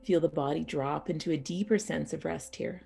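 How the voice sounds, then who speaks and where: A woman teaching yoga nidra as a guided meditation, speaking soothingly and unhurriedly in short phrases with pauses. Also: low voice, steady tone, vocal fry, mellow, slightly rough, soft background music.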